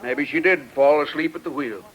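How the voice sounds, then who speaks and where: Folksy, high-pitched police chief. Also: male voice, moderate pace, breathy edge, muffled recording. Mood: weary. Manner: folksy, ungrammatical rural phrasing. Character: folksy, doubtful small-town male police chief. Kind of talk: 1940s radio mystery acting. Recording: old-time radio recording.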